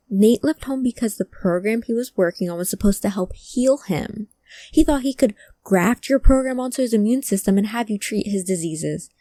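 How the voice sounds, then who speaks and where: A woman playing a guarded, grieving friend of a missing person in a cyberpunk audio drama, halting and emotional in delivery. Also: mid-range voice, dark tone, no room sound, natural voice. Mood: angry, hurt.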